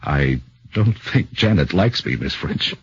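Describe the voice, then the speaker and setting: A man's voice: very deep voice, big pitch swings, measured pace, slightly rough, heavily acted. A man voicing an anxious young accused husband who speaks nervously and earnestly, stammering, in a 1940s radio drama on a muffled old broadcast.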